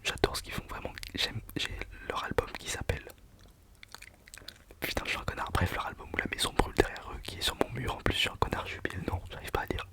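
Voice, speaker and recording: A young man whispering a casual, self-mocking countdown of his favourite songs for his channel. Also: fast talker. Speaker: channel host. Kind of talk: whispered ASMR music countdown.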